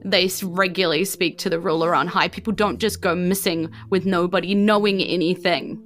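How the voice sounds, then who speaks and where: A woman voicing a bitter, injured male garment designer in a tabletop game, defensive and halting as he speaks. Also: fairly low pitch, fairly even intonation, fairly bright tone, soft background music, natural voice. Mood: tired anger.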